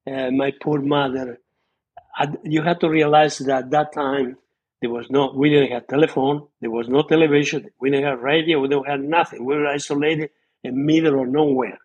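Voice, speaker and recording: A man's voice: mid-range voice, steady tone, moderate pace, a little mellow, slightly rough, muffled recording. A man in his eighties with an Italian accent, a retired surgeon speaking as a podcast guest, telling his life story earnestly and often restarting as he searches for words. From Italy. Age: 80s. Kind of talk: reminiscing interview storytelling.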